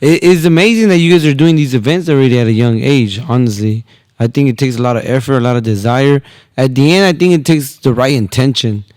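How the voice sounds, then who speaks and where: A man's voice: mid-range voice, fast, darkish tone, no echo. Young male podcast host, close dry sound. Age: 20s. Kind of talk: casual interview chat.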